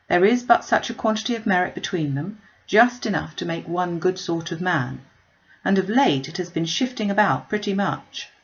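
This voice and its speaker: A woman's voice: fairly low pitch, vocal fry, highs cut off. A woman voicing a witty, self-possessed young lady in a classic novel reading, wry, articulate and emphatic. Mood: wry, decided.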